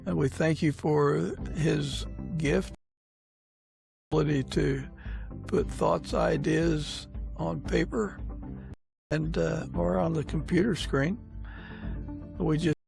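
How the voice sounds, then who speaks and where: A man hosting a podcast interview in a conversational way, haltingly searching for his words. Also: fairly high pitch, fairly even intonation, vocal fry, music in the background. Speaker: podcast host. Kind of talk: conversational interview with a prayer and sign-off.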